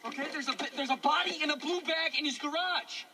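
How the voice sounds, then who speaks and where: A man's voice: very high voice, a little fast, sharp tone, distant mic, full character voice. A young man acting an agitated, suspicious teenage amateur sleuth in a thriller film, stammering and urgent. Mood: angry, desperate.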